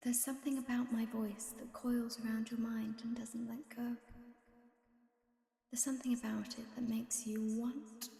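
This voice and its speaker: A woman whispers slowly and softly in the manner of a hypnosis session, her tone commanding. Her voice is mellow, rather high and flat in melody. The recording carries a long, roomy reverb. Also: vocal fry. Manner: slow soft whispering, commanding. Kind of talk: hypnosis audio.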